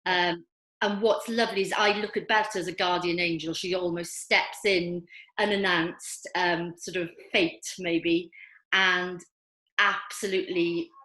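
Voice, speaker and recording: An older woman with a Welsh accent speaks in a lowish voice, pausing often with ums as she talks with enthusiasm. Her voice bounces around an echoey room. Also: fairly even intonation. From Wales. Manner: hesitant, many ums, warm enthusiastic. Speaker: filmmaker guest. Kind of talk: online festival Q&A.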